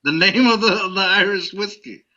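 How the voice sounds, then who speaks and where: A man's voice: high voice, fairly quick, bright, forceful tone, highs cut off. A man co-hosting a podcast, rambling easily through a casual drinking chat. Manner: rambling, easygoing, restarts often. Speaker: podcast co-host.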